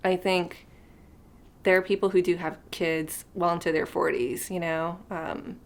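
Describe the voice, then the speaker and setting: A woman's voice: lowish voice, flat delivery, a little slow. A woman in her thirties chatting unscripted to camera as a vlogger, rambling and thinking aloud with lots of fillers, sometimes trailing off into a near whisper. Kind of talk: unscripted casual chat to camera.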